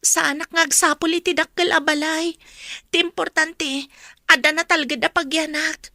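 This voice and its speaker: A woman's voice: high-pitched, fairly even intonation, moderate pace, pushed and bright, dry recording, clean studio sound. An actress playing a troubled, humble young woman in a radio drama, plaintive and earnest, with an Ilocano accent. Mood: polite, grateful.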